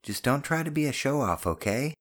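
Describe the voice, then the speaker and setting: A caring audiobook mother played by a male narrator, lifted well above his natural pitch. She is gently stern and a little self-conscious, and she chides with plain affection. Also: expressive, fairly quick. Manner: chiding but affectionate. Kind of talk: audiobook character dialogue.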